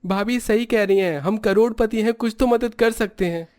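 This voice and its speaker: Cheerful, supportive young brother-in-law, voiced by a man, very high for a male, dry recording, storybook drama. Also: moderate pace, a little mellow, dry recording, natural voice. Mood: eager, supportive. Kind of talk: dramatized moral story acting.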